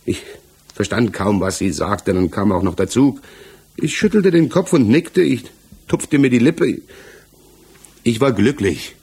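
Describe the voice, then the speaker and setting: A man acting a troubled, evasive defendant in a 1960s German radio crime drama, halting and searching for words as he defends himself. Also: lowish voice, lively intonation, a little mellow, husky, exaggerated voice. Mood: agitated, defensive. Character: troubled male defendant, married father, haunted and evasive. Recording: 1960s mono radio production.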